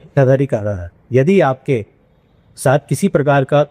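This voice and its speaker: A man, a senior police officer, giving a formal, deliberate public awareness address with pauses between phrases. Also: mid-range voice, expressive, warm tone.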